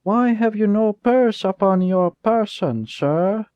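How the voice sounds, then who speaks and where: A sly young thief with high, formal, fawning speech, as a man puts him on. Behind the politeness is a quiet threat. The sound is dull and dry, like a lo-fi home recording. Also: slow, clean tone, no echo. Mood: menacing. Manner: overly formal, flattering then menacing. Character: cunning young male thief, falsely polite.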